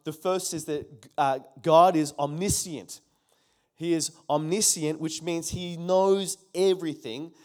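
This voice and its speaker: A middle-aged pastor delivers a sermon with real emphasis, circling back to his key phrases again and again. He calls out to the congregation and asks them to respond. His voice sits a little high for a man. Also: steady tone, a little slow, a little mellow.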